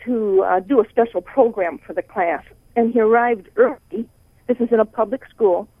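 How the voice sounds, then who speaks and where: A woman's voice: fairly high pitch, no room sound. A woman from the northern US with a northern accent, calling in to a radio talk show and telling a story with many hesitant fillers. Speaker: caller. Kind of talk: call-in radio caller. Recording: tinny phone line.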